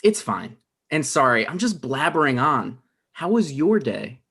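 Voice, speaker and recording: A man's voice: mid-range voice, very animated, a little slow, a bit bright, breathy edge, full character voice. A man playing a neurotic, self-absorbed playwright, conversational and rambling, in a comedy table read. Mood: casual, apologetic.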